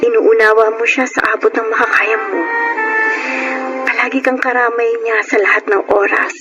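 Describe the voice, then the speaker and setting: A woman playing a gentle, grateful young mother in a Filipino radio drama, speaking earnestly with a Filipino accent. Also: fairly high pitch, level tone, moderate pace, muffled recording, lightly acted. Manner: earnest, heartfelt. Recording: music bed under the voice.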